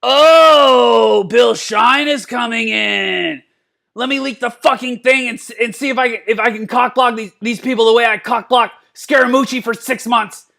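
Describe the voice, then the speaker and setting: A man voicing a belligerent, drunken old mad scientist in a cartoon-style comedic reading, gravelly and stammering, repeating himself and cursing. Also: extremely high pitch, moderate pace, sharp tone, full character voice. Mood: furious, mocking. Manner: stammering, repeating words, profane.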